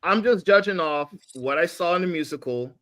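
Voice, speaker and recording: A man's voice: fairly high pitch, expressive, moderate pace, fairly bright tone. A man chatting casually on a podcast panel, giving opinions in an emphatic, colloquial way.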